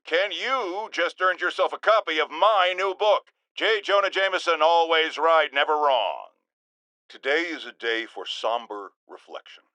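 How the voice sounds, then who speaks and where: A bombastic older American radio host, high and forceful, with wildly swinging pitch. He rants theatrically in a video game, pompous, paranoid and forever indignant, stressing his words like proclamations. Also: no room sound. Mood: smug, then somber, firm. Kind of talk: theatrical radio talk show rant.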